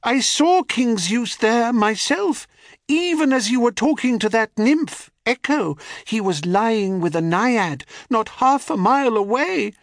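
Man doing a high, urgent little female chaffinch. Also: animated, measured pace, no echo, clean studio sound, exaggerated voice. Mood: urgent pleading.

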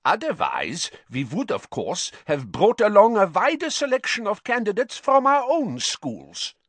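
A man voicing a cold, scheming headmaster in an audiobook, unctuous and quick to take offence. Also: high-pitched, wildly swooping pitch, no room sound, voice pushed up, full character voice. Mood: smooth resentment. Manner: unctuous, turning explosively angry.

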